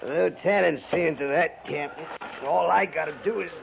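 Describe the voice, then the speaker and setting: An actor playing a callous, mocking cavalry private in a 1950s radio western, with a gravelly voice and folksy frontier talk. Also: male voice, fairly high pitch, normal speed. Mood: sardonic, griping. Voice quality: creaky, gravelly. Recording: muffled, noisy 1950s radio transcription.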